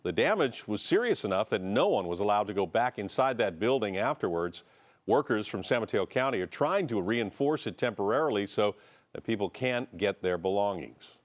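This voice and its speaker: A man anchoring the news, reading a story in a steady, matter-of-fact way. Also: on the low side, moderate pace.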